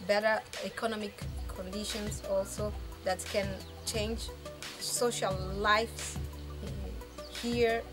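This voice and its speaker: A determined woman speaks slowly and emphatically, often repeating herself as she finds her words. Music plays clearly behind her. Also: medium pitch. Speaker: arts project founder. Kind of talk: interview testimony.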